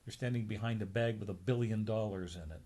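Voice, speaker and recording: A man's voice: lowish voice, fast talker, warm tone. A middle-aged man reading a comic story aloud, acting out characters and spoken sound effects. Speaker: amateur story reader.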